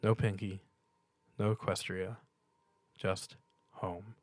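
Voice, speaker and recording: A man's voice: low voice, flat delivery, slow, dry recording. A man reading a piece of fan fiction aloud as dramatic story narration.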